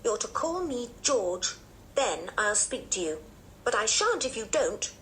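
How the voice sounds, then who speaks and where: A woman's voice: a little high, sing-song, a little slow, fairly bright tone, a little hiss or hum, voice pushed up. Woman playing a blunt, raised-voice sulky tomboy. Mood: defiant. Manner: emphatic, blunt. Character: defiant tomboy girl George, sulky, blunt, proud.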